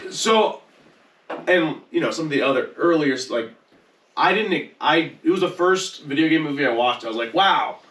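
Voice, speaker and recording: A man chatting casually on a podcast panel, halting and repeating words as he gets started. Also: on the high side, animated, a bit bright. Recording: echoey room, distant mic.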